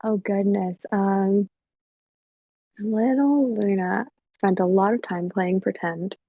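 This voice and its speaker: A woman from Colombia, a content creator being interviewed softly, giggly and thoughtful. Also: medium pitch, steady tone, slow pace, no echo, narrow, tinny audio. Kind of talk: soft-spoken interview answers.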